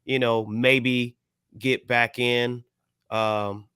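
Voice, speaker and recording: An American man co-hosting a podcast, discussing things casually in a measured, careful, hedging way. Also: mid-range voice, flat delivery, bright, forceful tone, smooth, no echo, clean studio sound. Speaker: podcast co-host.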